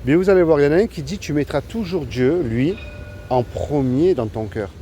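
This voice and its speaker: Man, street evangelist, quick pace, mellow dark voice, direct questions, traffic noise outdoors. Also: on the high side, animated. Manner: direct, questioning, slows down to stress key points. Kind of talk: street interview preaching.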